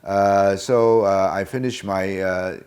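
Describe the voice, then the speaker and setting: Older man, very low voice. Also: fairly even intonation, darkish tone, smooth. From Hong Kong. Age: about 70. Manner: hesitant, many filler pauses. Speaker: guest. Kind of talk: casual interview answers.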